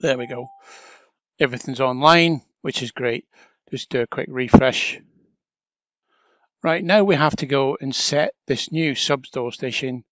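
Male trainer, medium pitch, informal step-by-step explainer delivery, dry lo-fi voice-over recording. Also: measured pace, pauses often, a bit bright, dry recording. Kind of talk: casual step-by-step software tutorial narration.

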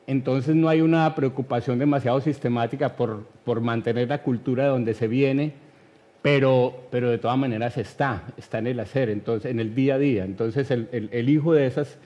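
A man in his fifties with a Colombian accent, answering thoughtfully and haltingly as an interview guest, often adding tag questions. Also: medium pitch, mellow, muffled recording. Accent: Colombian, Medellín area. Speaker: guest, writer. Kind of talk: reflective conversational interview answers. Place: TV studio.

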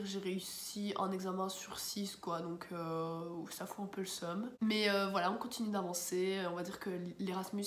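Young belgian girl w a blocked nose vlogging from her bedroom, lots of euh, roomy sound, faint hum behind. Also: mid-range voice. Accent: Belgian French. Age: young adult. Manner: chatty, rambling with many fillers. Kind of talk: casual chat to camera. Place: bedroom at home, indoors.

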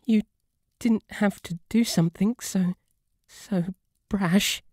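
A man with a British accent playing a shy, devoted, submissive bunny butler in an intimate ASMR roleplay, softly spoken with a formal, deferential, faltering manner. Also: high voice, steady tone, slow pace, no room sound, clean studio sound. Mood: flustered, eager. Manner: flustered, deferential, formal, stammering. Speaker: roleplay audio performer. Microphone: close, dry microphone.

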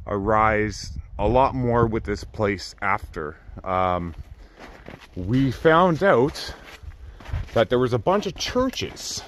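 A middle-aged man giving casual, halting, off-the-cuff commentary as he walks around outdoors with a handheld camera. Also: medium pitch. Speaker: exploration vlogger. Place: outdoors in snowy burned-out house ruins. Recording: clearly noisy outdoor recording with clicks.

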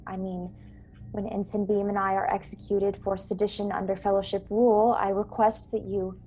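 A young woman playing an earnest, courteous starship officer in a radio play, measured, polite and gently persuasive. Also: mid-range voice, level tone, lightly acted. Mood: earnest, pointed. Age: young adult. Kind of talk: radio play acting over video call. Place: small bedroom, indoors. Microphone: earbuds on a video call. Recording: clear background noise on video call.

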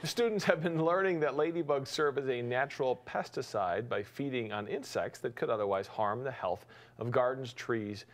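A man in his forties anchoring a morning news show in a TV studio, reading stories briskly with chatty asides, on a lapel mic. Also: medium pitch. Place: TV studio with city-skyline backdrop.

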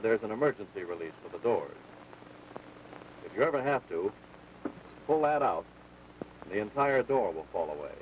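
An American man giving scripted, step-by-step instruction as a flight instructor in a 1940s training film. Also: on the low side. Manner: clear, orderly step-by-step instructing. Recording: muffled, noisy 1940s film soundtrack.

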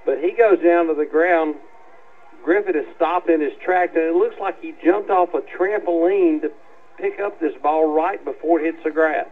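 A man's voice: fairly high pitch, normal speed, phone-quality sound. A man giving folksy, colorful, conversational football commentary as a radio analyst on a headset. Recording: band-limited radio broadcast sound.

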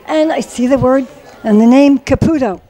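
A woman's voice: high-pitched, normal speed, warm tone. A middle-aged woman interviewing honorees at an indoor gala press wall, asking upbeat, affirming questions into a handheld mic. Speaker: red carpet interviewer. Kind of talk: red carpet interview.

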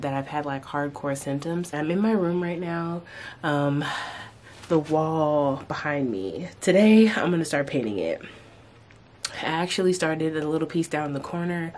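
Low-voiced young woman rambling casually. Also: slight background noise. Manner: rambling, relaxed, lots of "like" and "um". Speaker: vlogger. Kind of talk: casual chat to camera. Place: bedroom at home, indoors. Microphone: camera close in front of her face.

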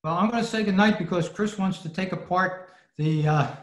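Man, higher-than-average voice, halting casual explainer, roomy echo on a video call. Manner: halting, pausing mid-sentence. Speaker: club presenter.

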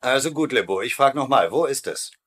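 An actor playing a wry, scheming prisoner colonel, a cool and persuasive leader with a husky voice in a dubbed military sitcom. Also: male voice, mid-range voice, animated, a little fast, fairly bright tone. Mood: exasperated.

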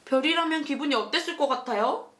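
A woman hosting a children's show, reading a storybook and asking questions in an animated, sing-song, teacherly way. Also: high-pitched, fast. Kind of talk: storybook narration and chat with a child.

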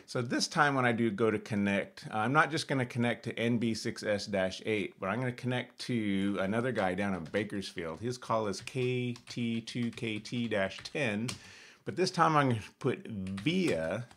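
A middle-aged American man with a fairly low voice walks through a hands-on demonstration. He talks casually and thinks aloud, narrating each step as he does it. He is recorded indoors in a small home room. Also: normal speed, a bit bright. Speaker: host of an amateur radio tutorial. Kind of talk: casual screen-share demonstration.

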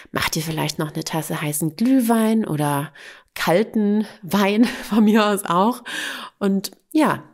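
A woman's voice: medium pitch, a little mellow. A woman in her thirties hosting a solo podcast, talking candidly and casually with a slightly congested voice from a cold. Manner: chatty, candid, with fillers and laughs. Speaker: podcast host.

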